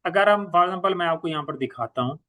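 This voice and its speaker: Male software trainer, Pakistani accent, slightly high voice. Informal, instructional walkthrough delivery, repeats key points, drops in English terms. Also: expressive, brisk. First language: Urdu. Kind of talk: informal step-by-step software tutorial.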